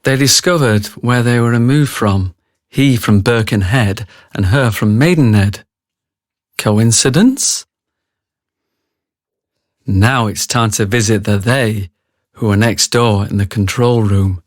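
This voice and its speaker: A man's voice: lowish voice, slow pace, no room sound, studio quality. A man acting as the detached, knowing narrator of a scripted radio drama. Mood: detached, wry.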